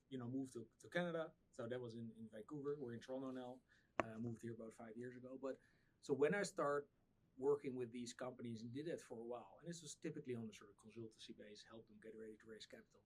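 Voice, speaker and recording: A middle-aged man from the Netherlands with a Dutch accent, answering interview questions conversationally and a little haltingly. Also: mid-range voice, breathy edge, low-quality recording. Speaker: interview guest, startup founder.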